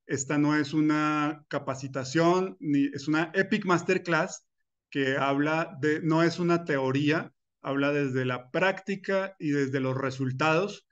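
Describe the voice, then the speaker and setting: A man's voice: fairly high pitch, unhurried, lo-fi sound. A middle-aged man from Colombia teaching a personal finance masterclass, talking earnestly and personally, with "eh" fillers and restarts. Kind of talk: recorded masterclass lecture.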